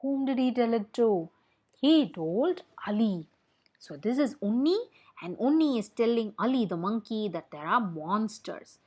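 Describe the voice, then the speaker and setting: Young indian woman doing kids story time, slow and a bit high, explains stuff and asks lots of questions. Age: young adult. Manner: expressive, questioning, repeats and explains for young listeners. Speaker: storyteller reading a picture book aloud for children. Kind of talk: read-aloud storytelling for kids, explaining as she goes.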